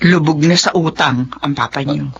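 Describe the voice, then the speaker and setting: A woman's voice: low voice, moderate pace, vocal fry, slight background noise. A long-suffering middle-aged wife and mother in a Filipino radio drama. She is earnest, firm and reproachful. The recording is dull and lo-fi. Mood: troubled.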